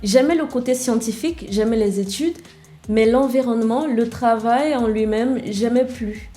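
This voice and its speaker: A young woman from Nigeria whose first language is English, speaking French as a guest in an interview, candid and soft-spoken with hesitant fillers. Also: fairly high pitch, moderate pace, soft background music. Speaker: guest, web developer. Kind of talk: interview conversation.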